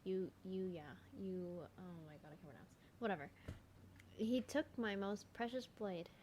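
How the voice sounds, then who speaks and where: Girl doing a lets play, casual n reactive, normal pitch, bit of game noise in the back. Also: a little slow, darkish tone. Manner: informal, reactive. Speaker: streamer playing a video game.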